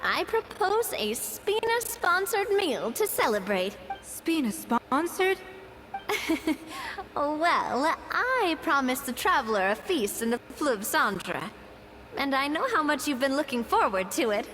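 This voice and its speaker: A woman's voice: high-pitched, sing-song, unhurried, a bit bright, slight background noise, heavily acted, poor audio. A woman playing a confident, proud young master chef in an anime game, with an assured, polished delivery. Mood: pleased, generous.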